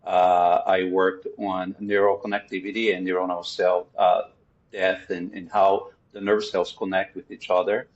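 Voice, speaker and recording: Brazilian-accented man, Portuguese native, very low voice, dull lo-fi audio, methodical interview guest. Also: level tone, a little slow. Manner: earnest, many "uh" fillers, methodical. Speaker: guest psychiatrist. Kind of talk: podcast interview.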